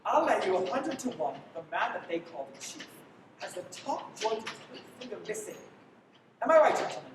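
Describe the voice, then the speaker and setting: A woman's voice: fairly high pitch, very animated, brisk. A young woman playing a wry man on the run in a live radio play, with dramatic delivery. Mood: smug. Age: young adult. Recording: echoey, noisy livestream, distant mic.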